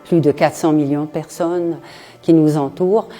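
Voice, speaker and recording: A woman writer from Quebec speaking slowly and pensively in an interview, with some hesitations. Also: sits low, steady tone, warm tone, faint music behind. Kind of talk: reflective interview testimony.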